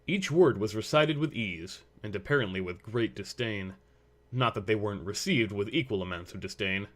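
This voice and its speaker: A man's voice: on the low side, normal speed. A man narrating a scripted story as its reader.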